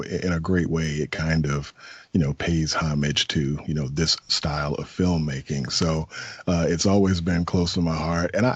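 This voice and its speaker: A low, husky man hosting a podcast in a loose, chatty way, full of fillers. His voice is dry and a little dull, as if recorded on a lo-fi setup. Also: dry recording. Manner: relaxed, chatty, many fillers. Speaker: podcast host. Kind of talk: casual movie review chat. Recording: dull lo-fi sound.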